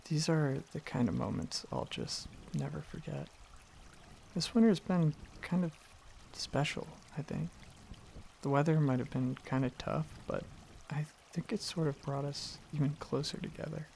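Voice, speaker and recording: A man playing a gentle, affectionate dragon boyfriend in an intimate ASMR roleplay, speaking softly and earnestly with some hesitant rambling. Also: medium pitch, a little hiss or hum. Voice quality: soft, husky, slightly gravelly, breathy.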